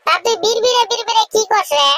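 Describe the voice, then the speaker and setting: Very high, childlike cartoon bachelor. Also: male voice, fast talker, crowd noise. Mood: eager, fretting. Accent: rural regional Bengali. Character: bashful, gullible, penniless young village bachelor. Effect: pitched-up cartoon voice. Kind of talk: comic cartoon dialogue.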